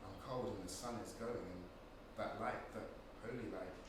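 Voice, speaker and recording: A man's voice: fairly low pitch, normal speed, lightly acted. Echoey, distant young dreamer played by a man. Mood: fading, bleak. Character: intense, restless young male dreamer, wry and poetic. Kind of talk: theatrical dramatic monologue. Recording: echoey room, far mic, noisy.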